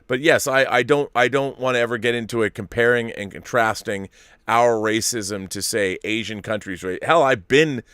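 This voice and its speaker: A man's voice: mid-range voice, normal speed, a bit bright, clear, resonant tone, no room sound, studio quality. A middle-aged man hosting a live stream, reading viewer messages and rambling through opinions with many restarts. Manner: rambling, self-interrupting, opinionated. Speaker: host reading viewer super chats. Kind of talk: casual live-stream commentary.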